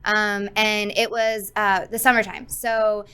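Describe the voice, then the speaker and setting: A woman's voice: mid-range voice, level tone, takes it slowly, smooth. Friendly woman guest on a podcast. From Texas, raised in the Northeast US. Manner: friendly, warm, often laughing, uses fillers. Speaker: guest, hairstylist and entrepreneur. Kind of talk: casual interview chat.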